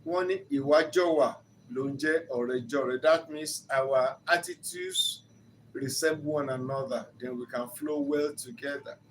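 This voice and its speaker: A man's voice: mid-range voice, very animated, unhurried, a little hiss or hum, poor audio. An older man with a Nigerian accent and Yoruba as his first language, giving an earnest, teaching-style talk as a relationship counselor that builds to emphatic rises.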